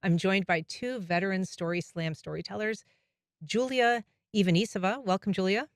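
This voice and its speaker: A woman hosting a public radio interview, asking questions in a smooth, curious, conversational way. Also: medium pitch, normal speed, dry recording, studio quality. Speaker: radio show host. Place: radio studio.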